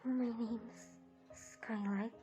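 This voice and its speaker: A woman acts out a shy little orphan filly of five, with a high voice. She speaks slowly and hesitantly. Faint music plays underneath. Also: fairly even intonation, mellow. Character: timid five-year-old orphan filly girl.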